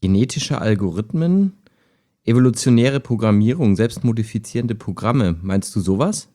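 Guy acting calm lonely chat buddy in an audio drama, soft mellow tone, helpful and earnest. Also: on the low side, normal speed, lightly acted. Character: calm, helpful, lonely man chatting every evening. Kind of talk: amateur audio drama dialogue, read chat.

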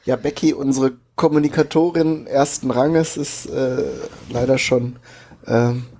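A man's voice: mid-range voice, steady tone, unhurried, darkish tone. A man co-hosting a casual group podcast, hesitantly searching for his words. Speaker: podcast co-host.

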